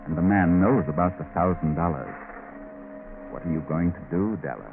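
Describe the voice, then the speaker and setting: A sinister, very low-voiced male narrator taunts and menaces in theatrical old radio style. The sound is tinny and narrow, with music clearly playing behind him. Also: expressive, moderate pace. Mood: taunting, menacing. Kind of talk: 1940s radio suspense narration. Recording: tinny band-limited 1940s radio recording.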